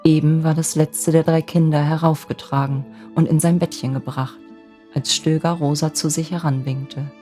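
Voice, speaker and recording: A woman storyteller reads a scripted fairy tale for bedtime. Her voice is low-pitched and gentle. Music plays softly behind the narration. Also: little pitch movement, normal speed. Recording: music bed under the voice.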